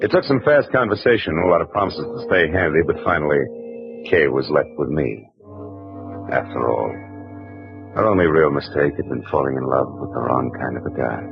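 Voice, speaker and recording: An actor playing a wry, hard-boiled private eye who narrates dryly in 1940s radio acting style. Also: male voice, extremely low pitch, fairly quick, creaky, music in the background. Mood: wry narration. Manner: dry, wisecracking first-person narration. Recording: dull old radio recording.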